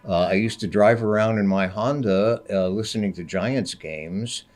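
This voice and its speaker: An older man with a deep, resonant radio voice, a public radio host chatting casually, unhurried and full of fillers. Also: darkish tone. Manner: unhurried, many uh fillers, jokey. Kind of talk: casual radio studio chat.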